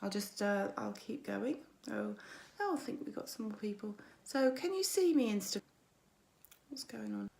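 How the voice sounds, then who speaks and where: A woman coach streams live to her followers. Her voice is croaky and gravelly. She chats warmly and greets viewers by name between her points. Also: medium pitch, lively intonation, normal speed. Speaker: mindset coach. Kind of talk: weekly social media livestream.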